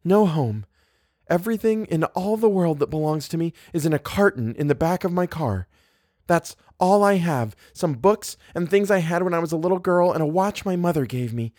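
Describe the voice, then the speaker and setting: A man's voice: on the high side, sing-song, normal speed, no echo, studio quality, pitched above the performer's own voice. A man voicing a fragile, giddy young woman in an audiobook, pleading with plaintive, childlike insistence. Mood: desperate, pleading. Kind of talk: audiobook character voice.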